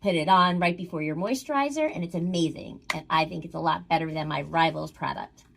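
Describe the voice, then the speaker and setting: Upbeat woman pitching a product. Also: fairly quick, gravelly.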